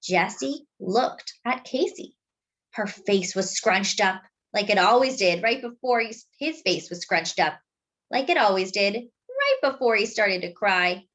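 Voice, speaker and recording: Female children's story reader: very unhurried, animated intonation, explanatory and deliberate. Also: medium pitch. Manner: deliberate, explanatory for young listeners. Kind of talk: expressive picture-book read-aloud with simple asides.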